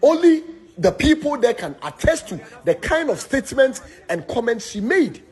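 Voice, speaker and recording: A man with a Ghanaian accent making an indignant, emphatic spoken complaint, halting and repeating words as he goes. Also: very high-pitched, big pitch swings, darkish tone. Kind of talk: impassioned spoken complaint to camera.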